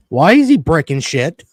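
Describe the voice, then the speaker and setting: A man's voice: on the high side, slightly rough, dry recording. Foul-mouthed man in his thirties, dry sound. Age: early 30s. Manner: foul-mouthed, animated storytelling with quoted reactions. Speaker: wrestling podcast host. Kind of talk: casual chat, ranting and storytelling.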